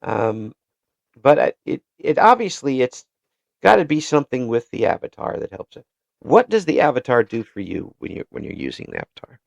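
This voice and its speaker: Man, interview host, halting, repeats words, lively pitch, dull lo-fi sound. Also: creaky, dry recording. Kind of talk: casual interview chat. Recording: dull lo-fi recording.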